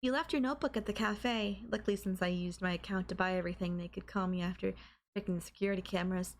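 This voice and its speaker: Casting: woman as young student girlfriend, mid-pitched, coy, teasing and affectionate, for intimate anime-style audio roleplay. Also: steady tone, fast talker, a little hiss or hum, lightly acted. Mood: affectionate, coaxing.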